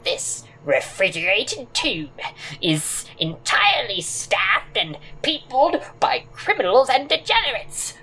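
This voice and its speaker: A man's voice: high voice, sing-song, takes it slowly, sharp tone, slight background noise, voice pushed up, exaggerated voice, poor audio. A man voicing a cranky, decrepit old woman in an audio drama, complaining venomously with grandiose insults. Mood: bitter complaining. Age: older. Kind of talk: audio drama character acting.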